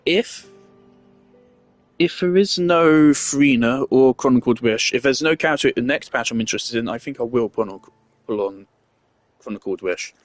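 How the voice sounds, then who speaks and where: A man's voice: medium pitch, normal speed, no echo. Blunt young man commentating over faint music. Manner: blunt, sweary, hesitant phrasing. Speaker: game streamer. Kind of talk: casual opinionated game commentary.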